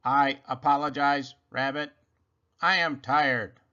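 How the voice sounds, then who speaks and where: Man doing a formal, bright-voiced storybook robot. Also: medium pitch, fairly even intonation, lo-fi sound. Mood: weary. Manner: formal, measured, deliberate. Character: calm, logical, polite male household robot. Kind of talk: children's storybook character voice.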